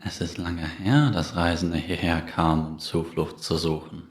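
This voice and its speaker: Man as a very deep, echoing German priest. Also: steady tone, close to an everyday voice. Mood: calm authority. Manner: unhurried, composed. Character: calm, mysterious priest at a ghostly ruined sanctuary. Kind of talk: cinematic audio roleplay acting. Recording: echoey stone-hall reverb, faint noise.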